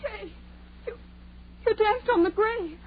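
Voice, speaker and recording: Shrill, superstitious young woman, tinny radio. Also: takes it slowly. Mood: horrified, sobbing. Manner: panicky, breathless pleading. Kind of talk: old-time radio horror acting. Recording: muffled, noisy old radio recording.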